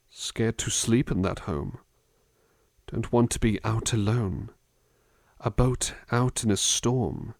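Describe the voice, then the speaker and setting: A man acting as a gentle, attentive butler for an ASMR roleplay. He speaks very slowly in a low voice, close and dry on the mic, with a touch of gravel. His manner is polite and musing, often hesitant as he rambles softly to the person in his care. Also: no echo, full character voice. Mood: somber reading. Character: gentle, musing, attentive male butler looking after someone. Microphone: close dry microphone.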